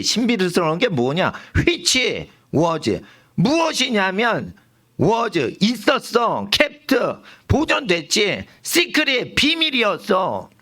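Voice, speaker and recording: A middle-aged man preaching a sermon in church, emphatic and animated, dropping English words into his talk. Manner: animated, emphatic preaching with lively pitch swings. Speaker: pastor. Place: church sanctuary.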